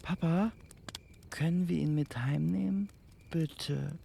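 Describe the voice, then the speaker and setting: A man plays an eager little boy in a storybook audio play. The voice is lifted well above the performer's own, childlike and imploring, full of affection and excitement. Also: on the high side, slow pace, creaky, a little hiss or hum, pitched above the performer's own voice, full character voice. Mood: pleading, eager.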